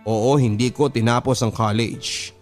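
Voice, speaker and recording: A man's voice: medium pitch, steady tone, a little slow. A man with a Filipino accent hosting a radio story program, reading listener letters as dramatic first-person narration. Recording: music under the voice. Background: background music.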